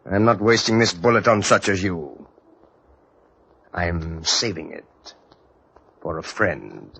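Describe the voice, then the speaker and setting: An actor playing a haughty, menacing gentleman of the early 1800s in a pompous, archaic manner, in old-time radio drama style. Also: low voice, takes it slowly, creaky, husky, heavily acted. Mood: scornful. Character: haughty menacing gentleman from 1804, addressed as Your Excellency. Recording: dull old radio recording.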